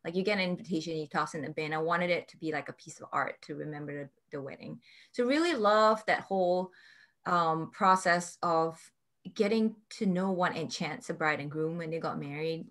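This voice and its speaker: A woman in her forties from Malaysia who creates tarot decks, talking in a casual interview with frequent ums and easy laughs. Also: low-pitched. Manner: warm, chatty storytelling with frequent ums and quick laughs. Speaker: tarot deck creator and artist.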